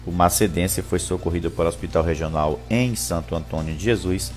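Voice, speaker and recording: Guy in his 50s doing live local news, lowish voice, faint music behind, headset mic. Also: a little fast, a little mellow. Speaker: news bulletin presenter. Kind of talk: reading local news, greeting viewers live.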